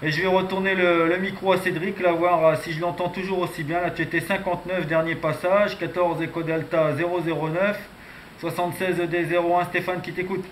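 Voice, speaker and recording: A man's voice: high voice, level tone, fairly quick. A man chatting casually as an amateur radio operator during a radio contact. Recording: noisy, echoey radio contact recording.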